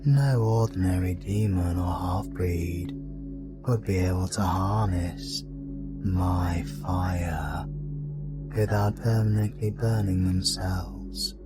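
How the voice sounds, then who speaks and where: Man as a slow, deep, scheming dark god. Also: over loud music. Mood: calculating, menacing. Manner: menacing, drawn-out, deliberate delivery. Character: scheming dark deity, cold, calculating, condescending overlord. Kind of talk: fantasy audio drama acting.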